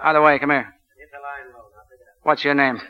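A man's voice: on the high side, steady tone, normal speed, natural voice. A man playing a commanding, wry American college football coach with clipped, bossy delivery, in 1940s radio drama style. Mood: gruff, wry. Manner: clipped, bossy, wryly sarcastic. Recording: dull lo-fi 1940 radio broadcast.

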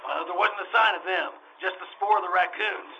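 A man's voice: sits high, steady tone, fairly quick, dull audio. A man playing a flustered, folksy animal-show owner in old-time radio drama style, stammering and rambling as he repeats himself. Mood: earnest, chatty. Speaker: stage actor in radio troupe. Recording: faint noise, live radio re-enactment.

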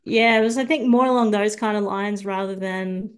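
A young woman with an Australian accent, a guest on an interview podcast, speaking hesitantly with many ums and soft, trailing phrases. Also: mid-range voice, steady tone, normal speed, smooth. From Sydney, Australia. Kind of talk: casual interview chat.

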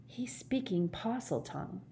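Woman voicing an earnest, higher-pitched boy. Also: lively intonation, unhurried, gravelly, dark tone, a little hiss or hum. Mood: puzzled, earnest.

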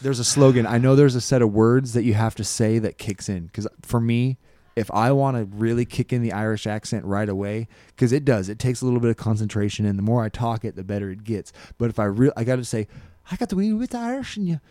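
Young man, tipsy and rambling, swears freely, mellow dark tone, dry close sound. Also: mid-range voice, fast, dry recording. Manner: rambling, swears freely, does silly voices. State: tipsy from scotch. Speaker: podcast co-host. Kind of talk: casual chat over drinks. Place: indoor room.

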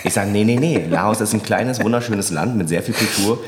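Deep-voiced man telling stories in a chat. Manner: animated storyteller who acts out quoted voices and laughs often; halting, many restarts and fillers. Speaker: comedian, podcast guest. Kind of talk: casual podcast conversation.

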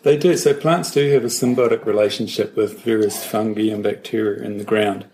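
A man from New Zealand with a New Zealand accent, a plant scientist calmly explaining things as a podcast guest. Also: lowish voice, brisk, a little hiss or hum, rough recording. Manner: calm, explanatory. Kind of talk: interview answers.

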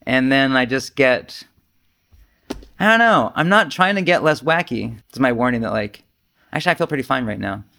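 A man giving an informal, rambling Zen talk as a retreat teacher, conversational, animated and self-deprecating. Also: medium pitch, moderate pace, vocal fry.

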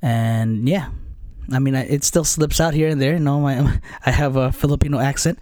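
A man's voice: mid-range voice, fairly even intonation, moderate pace, warm tone, no echo, studio quality. A man chatting casually as a guest, candid and rambling, poking fun at himself. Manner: candid, rambling, self-deprecating. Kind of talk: casual conversation.

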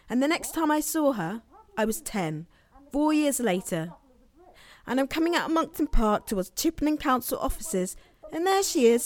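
A woman acts a young English mother and protective big sister in a naturalistic radio play. Her voice sits high and moves widely in pitch. She is blunt and emphatic, exasperated but fiercely loyal, often repeating herself for force. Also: lightly acted. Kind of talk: naturalistic audio drama acting.

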